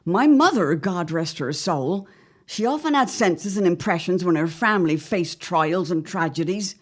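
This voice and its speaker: A woman's voice: lowish voice, expressive, moderate pace. A woman playing an anxious middle-aged wife of the 1910s in an audio drama, speaking in a formal, old-fashioned, earnest way. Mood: uneasy, earnest. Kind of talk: period audio drama acting.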